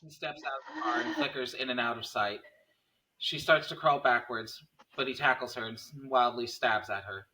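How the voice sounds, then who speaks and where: Guy reading out stage directions for a horror script, really sharp bright voice. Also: medium pitch, normal speed, rough recording. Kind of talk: scripted table-read narration of a screenplay.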